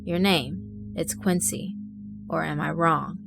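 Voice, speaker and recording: A woman voicing a bored young man who turns quietly menacing, speaking flat and slow. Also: low-pitched, vocal fry, exaggerated voice. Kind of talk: audiobook character voice. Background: music.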